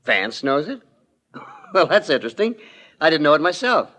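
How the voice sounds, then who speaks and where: An actor playing a cool, amused murder suspect in a 1940s radio drama, smooth-talking, teasing and sardonic. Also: male voice, fairly high pitch, very animated, moderate pace, sharp tone, exaggerated voice. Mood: amused, evasive.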